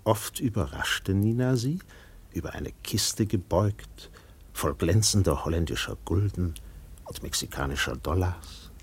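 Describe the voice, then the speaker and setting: Radio drama narrator: grave seafaring storyteller, lowish male voice, sweeping dynamic delivery, dramatic pauses. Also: animated, slightly rough, exaggerated voice. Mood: grave, impassioned. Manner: measured phrases with dramatic swells and pauses.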